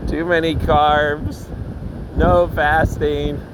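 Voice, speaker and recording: A man in his sixties hosting a rambling personal podcast from outdoors by the beach at dawn, easygoing and full of fillers, congested and a little raspy. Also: a little high, level tone, extremely slow. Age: 60s. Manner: easygoing storytelling with frequent fillers and restarts. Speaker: podcast host. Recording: loud wind and water noise on the mic.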